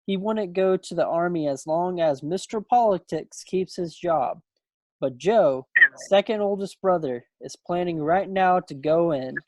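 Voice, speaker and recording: A man's voice: high voice, a little slow, mellow, dry recording. Man reading a folksy, teasing young small-town suitor. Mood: chatty, earnest. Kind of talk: stage play table read. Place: at home on a video call. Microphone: computer microphone on a video call.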